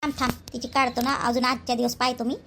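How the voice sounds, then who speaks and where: A woman's voice: on the high side, flat delivery, fast, sharp tone, a little hiss or hum. A woman voicing a suspicious, gossipy village mother in a cartoon, complaining in a Vidarbha Marathi accent. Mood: suspicious, irritated. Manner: gossipy, grumbling, repetitive.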